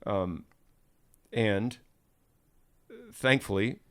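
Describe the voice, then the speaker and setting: Male podcast host, fairly low voice, relaxed conversational delivery. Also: drawn-out words. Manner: relaxed, with soft filler sounds and restarts. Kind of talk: conversational podcast, reading listener questions.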